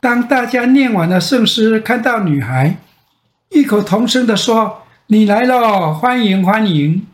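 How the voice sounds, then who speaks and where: An older man with a Taiwanese Mandarin accent tells a bedtime fairy tale as a grandfather, with dramatic pauses and repetition. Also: high-pitched, animated. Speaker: grandfather storyteller. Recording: roomy echo.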